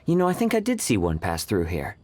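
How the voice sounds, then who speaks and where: A man playing a helpful, thoughtful passer-by in a video game, with a gruff manner. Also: fairly low pitch, huge pitch swings, brisk, very noisy. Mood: pondering, helpful. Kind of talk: game character acting.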